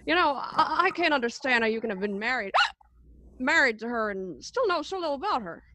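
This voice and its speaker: Woman playing a tipsy, high-voiced rambling man. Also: expressive, fairly quick, very noisy. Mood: earnest, drunk. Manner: drunken stammering, hiccuping, repeating words. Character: tipsy, rambling, romantic young male writer. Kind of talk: radio play acting.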